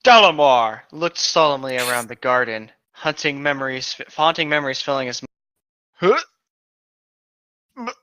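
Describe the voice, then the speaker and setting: A man's voice: medium pitch, moderate pace, pauses often, bright, forceful tone, a little hiss or hum. A man co-hosting a casual comedic read-aloud, recorded on a dull, lo-fi home microphone. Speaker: co-host reading aloud.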